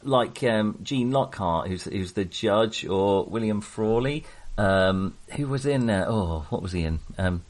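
A man's voice: fairly low pitch, animated, a little slow, few pauses. A man hosting a casual film discussion, speaking hesitantly and often correcting himself as he digresses. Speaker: podcast host.

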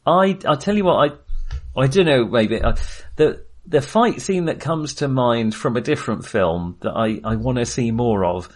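A man co-hosting a film podcast in casual discussion, thinking aloud in a hesitant, halting way. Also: medium pitch, animated.

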